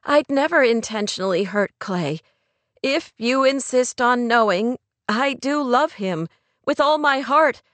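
A woman playing a gentle, confused young woman in an audiobook romance, speaking in a soft, hesitant, pleading way. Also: a little high, expressive, measured pace, no echo. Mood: soft, earnest. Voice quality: soft, murmuring.

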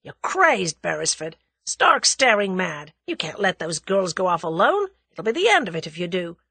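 A woman's voice: fairly low pitch, big pitch swings, moderate pace, creaky, bright, forceful tone, breathy edge, dry recording, full character voice. Woman doing a brash young man. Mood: agitated. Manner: blunt, slangy, hot-tempered.

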